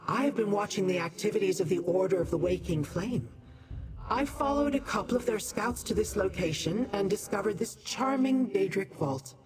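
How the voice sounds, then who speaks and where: An actor voicing a sly, courteous Daedra in a video game, speaking formally and theatrically, with condescension toward mortals. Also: male voice, high-pitched. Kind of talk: scripted video game dialogue. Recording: music behind the voice.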